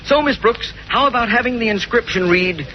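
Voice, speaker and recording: An actor playing a gruff middle-aged school principal with a pompous, formal manner in a 1940s radio sitcom. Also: male voice, extremely high pitch, brisk. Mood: self-satisfied, pompous. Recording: dull old radio recording, faint noise.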